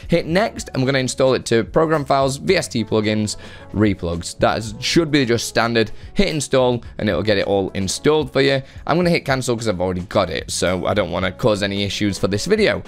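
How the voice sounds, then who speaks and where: A man hosts a software tutorial in an informal, chatty way. His voice sits at an average pitch, close on a desk microphone, with faint music underneath. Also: expressive, brisk, creaky. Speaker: tutorial host. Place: home room with guitars, indoors. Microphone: close desk microphone on boom arm.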